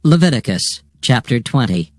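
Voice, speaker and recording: A man's voice: medium pitch, sing-song, moderate pace, darkish tone, no echo, studio quality, soft background music. A man narrating scripture from a script, in the role of narrator.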